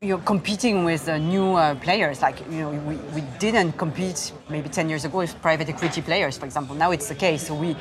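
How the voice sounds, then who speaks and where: Low-voiced woman, halting and measured. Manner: measured, halting, many fillers. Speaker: investor, fund founder. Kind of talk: onstage interview. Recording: crowd murmur in the background.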